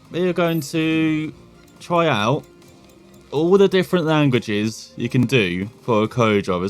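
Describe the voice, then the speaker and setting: A man from England with an English accent, hosting a gaming channel with chatty, self-deprecating commentary full of rambling asides. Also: fairly low pitch. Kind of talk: casual gameplay commentary.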